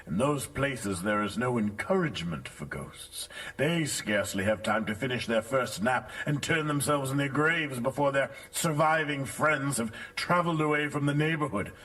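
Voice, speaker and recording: A husky, brooding village bully. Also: male voice, fairly low pitch, a bit bright, recorded from a distance, heavily acted. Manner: taunting, ominous. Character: brooding, jealous village bully and ghost-story teller. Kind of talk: theatrical audio drama acting.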